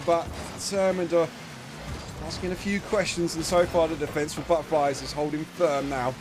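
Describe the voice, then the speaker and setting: A man giving chatty live play-by-play commentary on a match, his voice starting to go hoarse. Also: fairly high pitch, background noise. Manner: chatty, self-deprecating asides, bursts of excitement on big plays. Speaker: esports commentator.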